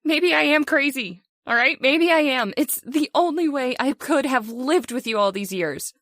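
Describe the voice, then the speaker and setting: Actress as high-voiced, emotional long-suffering wife. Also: female voice, expressive, normal speed, a bit bright, no room sound, studio quality. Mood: bitter outburst. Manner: intense, emotional, pleading. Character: frightened, long-suffering middle-aged wife, bitter and pleading. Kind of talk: old-time radio horror acting.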